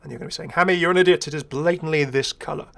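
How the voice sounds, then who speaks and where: A man's voice: a little high, rapid-fire, gravelly, fairly bright tone. A man giving casual, rambling first-impressions commentary as a gaming video creator, chatty, enthusiastic and self-deprecating.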